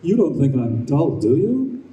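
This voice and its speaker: A man's voice: fairly high pitch, wildly swooping pitch, a little slow, dark tone. An actor in a live radio play as a stubborn, anxious middle-aged husband and father, grumbling and earnest. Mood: indignant. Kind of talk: live old-time radio play acting. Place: live stage at a convention. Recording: roomy, distant, faintly noisy stage recording.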